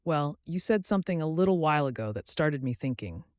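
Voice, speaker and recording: A man's voice: fairly high pitch, lively intonation, brisk, dry recording, studio quality, voice pushed up, lightly acted. A man voicing a wry, evasive regular guy on the run in story dialogue, with a casual, half-joking manner. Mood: earnest, wry.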